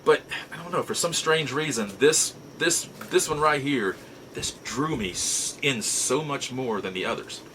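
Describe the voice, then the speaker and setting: A middle-aged man with a bright, forceful voice at a medium pitch tells a personal story as the host of his own show. He is folksy and conversational, circling back to repeat and reword things. Faint noise sits behind the voice. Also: a little slow, rough recording. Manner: folksy, rambling, repeats and rephrases himself. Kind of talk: casual personal storytelling to camera.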